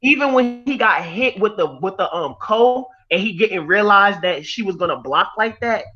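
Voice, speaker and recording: A man's voice: sits high, normal speed. A man hosting an online stream, talking animatedly in a casual group chat, restarting his sentences often. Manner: animated, rambling with many restarts. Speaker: stream host. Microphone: online voice call. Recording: dull lo-fi audio.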